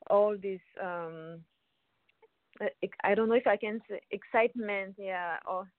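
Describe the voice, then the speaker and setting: A young woman from France with a French accent, a violinist guest on a phone interview, speaking English haltingly and searching for words. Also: medium pitch, no room sound. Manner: hesitant, searching for words, many restarts. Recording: tinny phone line, dry.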